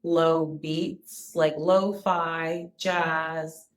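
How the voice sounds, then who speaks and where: A woman with a deep, low-pitched speaking voice talks straight to her viewers in a personal vlog. She sounds soft, calm and sincere, with the odd restart as she finds her words. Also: very slow, a little hiss or hum. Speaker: wellness vlogger. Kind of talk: casual personal vlog talk.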